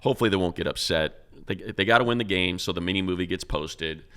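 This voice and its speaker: Male sports co-host, American, low-pitched with heavy fry, emphatic and conversational football analysis. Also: fast, fairly bright tone. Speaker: sports radio announcer and podcast co-host. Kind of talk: casual football analysis chat.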